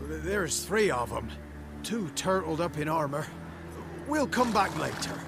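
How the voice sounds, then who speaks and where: Dumb crude bandit, squawky high and swoopy, slow, rustic slang, game thug. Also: male voice, big pitch swings, vocal fry, over music, exaggerated voice. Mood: wary. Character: crude, dim, jeering male bandit. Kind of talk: video game cutscene acting.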